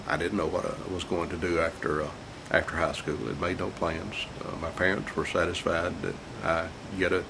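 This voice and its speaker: An older man with a congested, croaky voice, reminiscing about his life alone at home in a halting, rambling way with small chuckles. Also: sits low. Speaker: vlogger telling his life story. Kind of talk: casual reminiscing monologue. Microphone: camera mic. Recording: noisy home camera recording.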